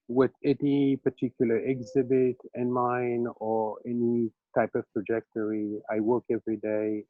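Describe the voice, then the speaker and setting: Guy from senegal talking about his paintings, lots of uhs, flat dull call sound. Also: lowish voice, no echo. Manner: hesitant, searching, many fillers. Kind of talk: casual artist talk on video call. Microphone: video call. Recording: dull, dry video call audio.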